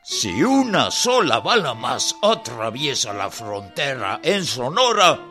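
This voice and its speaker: An actor playing a stern American general in a radio drama, speaking formally and emphatically. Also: male voice, mid-range voice, big pitch swings, slow pace, pushed and bright, faint music behind, exaggerated voice. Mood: threatening.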